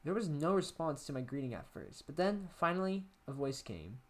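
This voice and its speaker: A man's voice: a little high, big pitch swings, moderate pace. A young man playing an anxious, isolated college student in a first-person horror story, with a hesitant, brooding delivery. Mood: hushed, apprehensive. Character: anxious isolated college-age man writing down his unease. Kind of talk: dramatic horror story reading. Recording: music under the voice.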